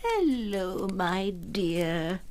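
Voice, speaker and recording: A woman's voice: mid-range voice, big pitch swings, drawn-out words, a little mellow. An actress in a radio drama playing a genteel, theatrical woman in her sixties with a coy, needling temper. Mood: coy, spiteful. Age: 60s. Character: genteel older wife, coyly spiteful, theatrical, needling.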